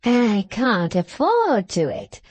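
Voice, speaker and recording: A woman voicing an irritable, wheedling old man in a classic novel, with a breathless, drawn-out delivery. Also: a little high, huge pitch swings, darkish tone, no echo, exaggerated voice. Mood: wheedling, sly.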